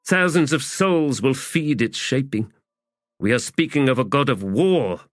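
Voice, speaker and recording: A man's voice: medium pitch, animated, a little slow, dry recording, studio quality, pitched above the performer's own voice, close to an everyday voice. A man voicing a solemn soldier in a fantasy audiobook, grave and declamatory, as if foretelling.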